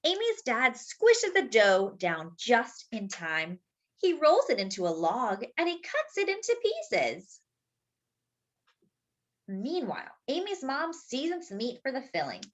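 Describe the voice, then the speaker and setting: A woman with a high, lively voice reads a picture book aloud to young children. She takes her time, lingering on important words with exaggerated emphasis. Between sentences she adds short, simple explanations for her listeners. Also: slow. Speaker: children's story time reader and host.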